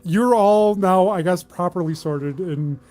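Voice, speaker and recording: A man's voice: high-pitched, a little slow, darkish tone, faint music behind. A man casually narrating a tabletop game as its game master.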